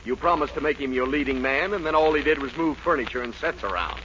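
An actor playing a skeptical, weary member of a touring theater troupe, dry and sarcastic, in a 1940s radio comedy. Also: male voice, medium pitch, fast, a bit bright, close to an everyday voice. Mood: dry, doubtful. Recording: muffled, hissy 1940s radio recording.

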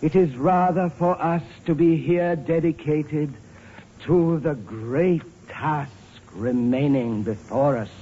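An actor playing a humble, earnest, deferential manservant with courteous, formal diction in 1930s Hollywood film style. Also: male voice, on the high side, slow, dark tone, husky. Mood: solemn, reverent. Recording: muffled noisy old film soundtrack.

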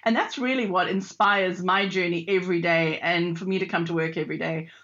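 A woman's voice: lowish voice, fairly quick, muffled recording. A middle-aged woman with a South African accent, speaking enthusiastically as a panelist about her career in tech. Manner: enthusiastic, animated storytelling. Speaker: panelist, tech industry leader. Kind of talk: panel discussion.

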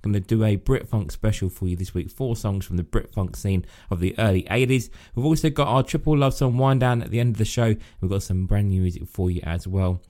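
A man presenting a radio music show, his voice husky from a sore throat, talking in a chatty, friendly way. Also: on the low side, a little fast, few pauses, warm tone. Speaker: radio host.

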